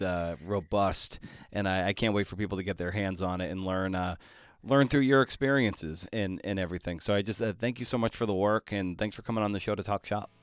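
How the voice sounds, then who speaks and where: A man interviewing as a podcast host, casual and halting, often rephrasing as he goes. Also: lowish voice, smooth, no room sound, studio quality.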